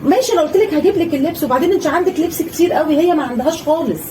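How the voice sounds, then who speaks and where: A woman's voice: high-pitched, lively intonation, rapid-fire, a little mellow, slight background noise. Woman playing a fussy Egyptian stepmother. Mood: scolding. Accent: Egyptian Arabic. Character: fussy stepmother, doting then scolding. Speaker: actor in a family skit. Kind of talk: home-made family skit. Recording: voice a little far from the mic.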